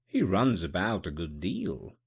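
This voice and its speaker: A man's voice: low-pitched, wildly swooping pitch, measured pace, creaky, husky, heavily acted. A man voicing a deferential, watchful butler in an audiobook, speaking in a polite and formal way. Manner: polite and formal, saying sir often. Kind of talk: audiobook character voice.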